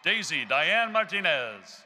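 A young man with a Texan accent, reading graduates' names one by one from a podium microphone on a large arena stage, in a loud, measured announcing voice. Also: a little high, sing-song, a little hiss or hum. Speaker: graduation name reader.

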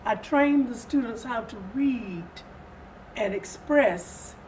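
An older woman in her seventies giving interview answers in a measured, formal, deliberate manner. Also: fairly high pitch, lively intonation, a little mellow. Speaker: guest, readers theater director. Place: furnished sitting-room set indoors. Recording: distant mic, audible room noise.

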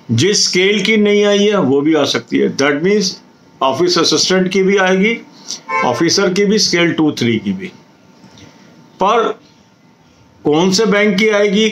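A man in his fifties with an Indian accent, explaining an update to viewers in a deliberate way, repeating points for emphasis. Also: sits high, fairly bright tone, rough recording. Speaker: exam coaching video host. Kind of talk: explanatory update to camera for exam candidates. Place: small indoor room at home.

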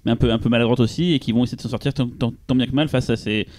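A man's voice: medium pitch, steady tone, very fast, a little mellow, slight background noise. A man hosting a podcast, asking loose, rambling questions with hesitations. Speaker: podcast host. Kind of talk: casual podcast interview questions.

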